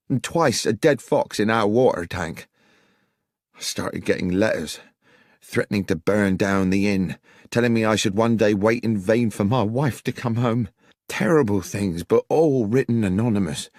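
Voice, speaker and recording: A man's voice: lowish voice, no room sound, clean studio sound. A man voicing an elderly village publican in an audiobook, plain-spoken, respectful and troubled. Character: elderly amiable village publican, distressed and deferential.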